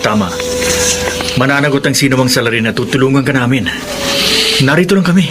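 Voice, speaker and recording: A man's voice: medium pitch, lively intonation, brisk, heavy background noise, natural voice. An actor playing a loyal male friend in a radio drama, speaking firmly and earnestly with reassurance. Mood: firm, reassuring. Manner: earnest, firm, encouraging. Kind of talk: Filipino radio drama acting.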